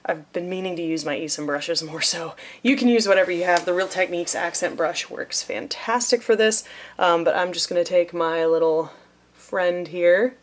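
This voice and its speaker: A woman's voice: on the low side, slight background noise. A young woman talking through a makeup tutorial in a chatty, playful way, sometimes dropping to a near whisper as she works. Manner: chatty, playful, self-deprecating. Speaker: beauty vlogger.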